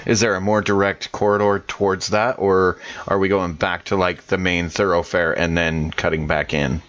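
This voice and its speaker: A man playing a tabletop game, speaking in character with hesitant, halting delivery and many fillers. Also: deep voice, little pitch movement, fairly bright tone, dry recording, highs cut off. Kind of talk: in-character roleplay with out-of-character asides.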